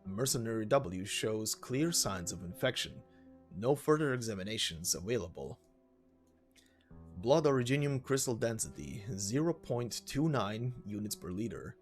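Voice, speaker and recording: A man's voice: on the low side, expressive, normal speed. Casual male gaming narrator over music. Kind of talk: casual commentary, reading game lore aloud. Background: background music.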